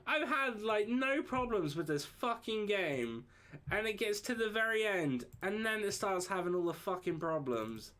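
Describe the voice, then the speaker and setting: Brief: man, live gameplay streamer, animated pitch, salty and exasperated running commentary. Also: measured pace. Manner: swears freely, laughs often, exasperated asides. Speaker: game streamer. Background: game music.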